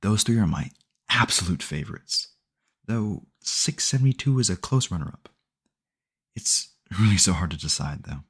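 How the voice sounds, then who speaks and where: A man's voice: low-pitched, lively intonation, normal speed, fairly bright tone, husky, heavily acted. A man playing a sweetly possessive, obsessive boyfriend in an ASMR audio roleplay, rambling earnestly and intimately to the listener. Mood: doting, possessive. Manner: doting, rambling, earnest self-justifying, close and conversational.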